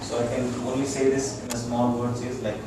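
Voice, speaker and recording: A man's voice: mid-range voice, completely flat delivery, brisk. A man with an Indian accent, a doctor giving an informal lecture to a small group, explaining in short repeated phrases and checking that his listeners follow. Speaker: doctor teaching integrative medicine. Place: room with a small audience. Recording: echoey room, distant mic, noisy.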